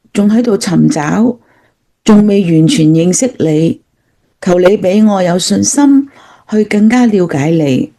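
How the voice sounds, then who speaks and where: A woman's voice: medium pitch, mellow. A woman with a Cantonese accent playing a sincere, earnest seeker of faith in a scripted radio drama.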